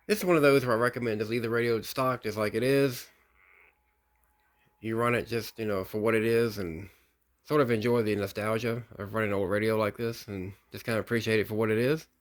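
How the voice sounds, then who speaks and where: A man casually narrating a hobbyist review in an unhurried, folksy, explanatory way. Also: fairly low pitch. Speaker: radio hobbyist presenting a video.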